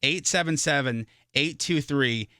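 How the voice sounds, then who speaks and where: A man's voice: on the high side, unhurried, sharp tone, no echo. A man hosting a morning radio show in casual chat, often restarting his sentences, on a close studio mic.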